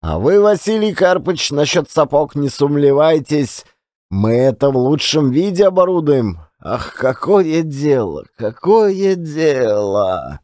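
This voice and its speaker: A loyal old man played by a male narrator speaks slowly in a folksy, sighing manner. He is tender and lamenting, repeating himself like a fussing nanny in an audiobook drama, recorded close and dry. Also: fairly high pitch, very animated, darkish tone, no room sound.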